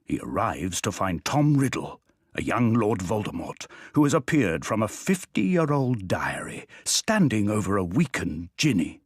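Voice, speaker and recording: A man's voice: on the low side, big pitch swings, measured pace, slightly rough, no echo, studio quality, full character voice. A man narrating a wizard tale for a video game in a scripted storybook style. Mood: measured storytelling. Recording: light music behind the voice.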